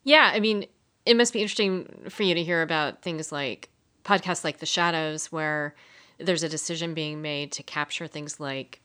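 A woman's voice: sits low, normal speed, bright, forceful tone. A woman co-hosting a podcast, chatting casually in a reflective, interested way. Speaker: podcast co-host. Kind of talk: casual conversational podcast talk.

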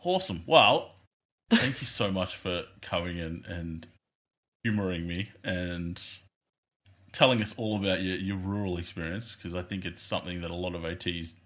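A man's voice: deep voice, normal speed, fairly bright tone. Laid-back Australian man hosting a podcast. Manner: laid-back, chatty, swears casually. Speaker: podcast host. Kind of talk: casual podcast interview.

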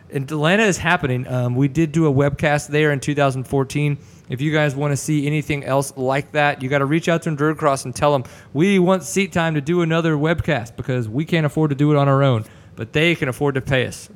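A man's voice: on the high side, fairly even intonation, quick pace. A man in his thirties with a Texan accent, hosting a casual podcast chat, laid-back and jokey, swearing freely. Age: 30s. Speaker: podcast host.

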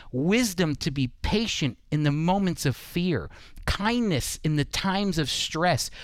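Guy doing radio preaching, slightly high voice, earnest emphatic delivery, really dry studio sound. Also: no echo. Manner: earnest, emphatic phrasing with rhetorical questions. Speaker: radio Bible teacher and host. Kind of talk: devotional radio teaching, preaching.

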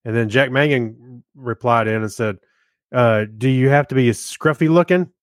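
A man's voice: mid-range voice, moderate pace, no echo, studio quality. A man co-hosting a podcast, chatting casually and reading listeners' comments aloud. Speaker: podcast co-host.

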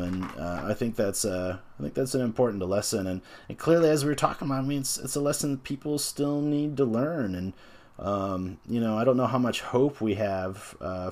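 Brief: man about forty, game designer being interviewed; lowish voice, thoughtful, halting delivery full of "you know" and "um.". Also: darkish tone. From North America. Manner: reflective, hesitant, full of pauses and fillers. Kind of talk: casual interview talk.

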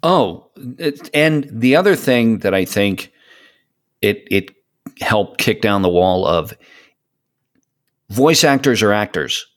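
A man's voice: on the low side, lively intonation, slow, pauses often. A man in a casual podcast conversation, speaking emphatically and repeating phrases to stress his point. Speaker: podcast guest, hobby voice actor.

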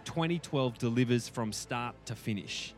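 An Australian man in casual podcast conversation, with a mid-pitched voice. He talks in a colloquial way, repeating words for emphasis, over faint music. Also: normal speed. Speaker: guest podcaster and film reviewer.

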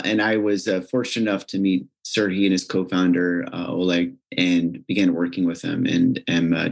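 American man, lowish pitch, relaxed business guest, chatty. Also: vocal fry, husky. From United States. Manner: relaxed, conversational, frequent "you know". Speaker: company executive, guest. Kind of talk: interview answers.

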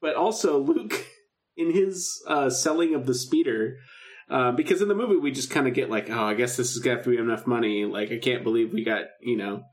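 A man's voice: mid-range voice, brisk. A man co-hosting a podcast in casual, informal chat, talking with enthusiasm. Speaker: podcast co-host. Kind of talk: casual podcast chat about a radio drama.

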